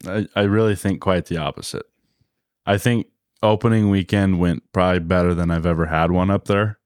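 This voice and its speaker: Deep bassy man telling hunting stories. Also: steady tone, fairly quick, darkish tone, dry recording, studio quality. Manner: storytelling, acts out quotes. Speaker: podcast co-host. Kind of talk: casual chat.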